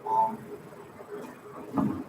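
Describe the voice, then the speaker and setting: A teenage boy from Peru whose first language is Spanish, speaking hesitantly at a public meeting. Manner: slow and hesitant, many fillers. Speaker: student speaker. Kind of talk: prepared remarks at a public meeting. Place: school board meeting room. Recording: echoey meeting room, distant mic, background noise.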